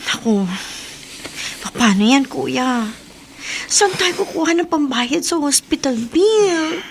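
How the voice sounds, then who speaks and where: A woman acting an outspoken, skeptical but caring younger sister in a radio drama, with blunt, expressive delivery. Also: sits high, takes it slowly, vocal fry, full character voice. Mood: worried. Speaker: actor.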